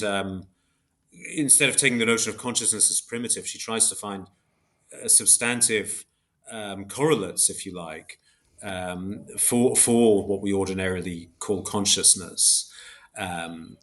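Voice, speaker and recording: A man with a fairly low voice gives considered answers as an academic guest in an interview. He feels his way through each idea, often stopping to rephrase and qualify himself as he explains. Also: normal speed, fairly bright tone. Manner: hesitant, self-correcting, reflective academic explaining. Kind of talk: thoughtful interview discussion.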